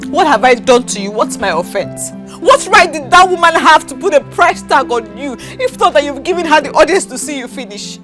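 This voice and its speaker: A woman's voice: very high voice, expressive, a little fast, over music. A Nigerian woman acting a hurt, insecure girlfriend, tearful and plaintive, in a screen drama. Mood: wounded, accusing. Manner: plaintive, insistent.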